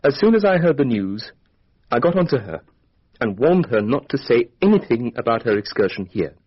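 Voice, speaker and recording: Husky, defensive young English lord. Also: male voice, mid-range voice, sing-song, normal speed. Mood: confiding. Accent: British. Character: young aristocratic murder suspect, defensive and flippant. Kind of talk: radio drama acting. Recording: dull, band-limited radio drama recording.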